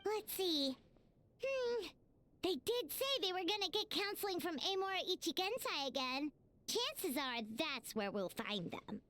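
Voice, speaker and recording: A woman voicing a tiny, excitable floating fairy companion in a video game, with a squeaky childlike voice. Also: a little slow, no room sound, exaggerated voice. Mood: earnest. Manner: speaks of self in third person. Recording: music behind.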